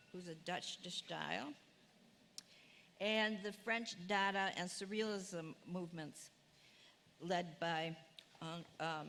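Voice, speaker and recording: A woman's voice: on the low side, fairly bright tone. A woman instructor previewing her course to an audience, chatty and self-deprecating with many fillers. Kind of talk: class preview talk. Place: lecture hall, in front of audience. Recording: long hall reverb, faint room noise.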